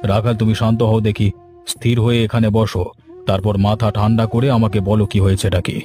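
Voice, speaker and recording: A man plays a respected, sensible village gentleman in a cartoon. His voice is lowish and very mellow, measured and admonishing, with music underneath. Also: level tone, close to an everyday voice. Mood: calm concern. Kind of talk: Bengali horror cartoon dubbing.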